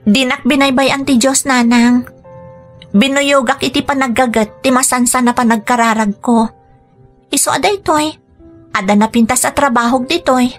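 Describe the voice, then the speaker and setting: A woman's voice: sits high, fairly even intonation, moderate pace, soft background music. A woman acting an earnest, dutiful and forgiving young migrant worker in an Ilocano radio drama. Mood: grateful.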